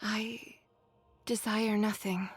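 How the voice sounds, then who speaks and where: A woman playing a gentle, solemn young religious soldier who mourns the dead, with soft, pensive delivery in a video game. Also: medium pitch, level tone, slow, breathy edge, no room sound, faint music behind. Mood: solemn, wistful. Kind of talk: dramatic video game voice acting.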